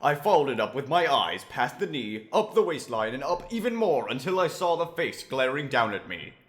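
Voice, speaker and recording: A man's voice: medium pitch, sing-song, moderate pace, fairly bright tone. A man playing an earnest questing knight who tells his own fantasy tale in a dramatic manner, with a wry streak. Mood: fearful, dramatic. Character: earnest questing young male knight, wry and easily startled. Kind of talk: first-person dramatic fantasy narration. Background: music.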